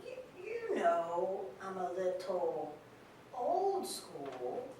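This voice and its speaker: A woman playing an old-fashioned elderly grandmother in a staged reading, speaking slowly and hesitantly. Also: wildly swooping pitch, mellow, exaggerated voice. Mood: hesitant, accepting. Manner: slow, halting delivery. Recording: echoey hall from far off, noisy, rumble.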